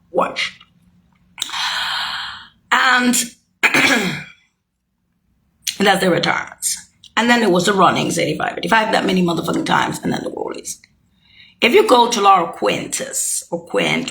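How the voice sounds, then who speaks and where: A woman's voice: on the low side, creaky, a bit bright, low-quality recording. A woman speaking a rambling, heated monologue, swearing and accusing as she jumps from thought to thought. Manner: loose, jumping from thought to thought.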